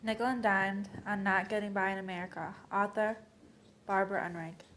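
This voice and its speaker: A woman's voice: mid-range voice, steady tone, fairly quick, fairly bright tone. A young woman student presenter reading scripted readers theater narration. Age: young adult. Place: indoor college library event. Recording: faint background room noise.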